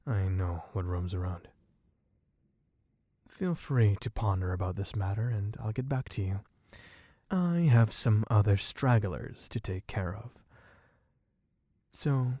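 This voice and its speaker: A man voicing a suave, possessive, bloodthirsty vampire in a low, husky, teasing voice for an ASMR roleplay. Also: mellow, no echo, clean studio sound, exaggerated voice. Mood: possessive, coaxing. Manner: slow, teasing, theatrically genteel, purring endearments.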